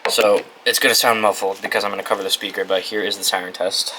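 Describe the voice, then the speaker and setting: A young American man with a fairly low voice talks through a gadget setup in a loose, informal way, thinking aloud and swearing freely. The recording has clear noise behind him. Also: level tone, fast, bright, forceful tone, poor audio. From New England, United States. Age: young adult. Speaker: video host reviewing a gadget. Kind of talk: casual unboxing and setup commentary. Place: dorm room.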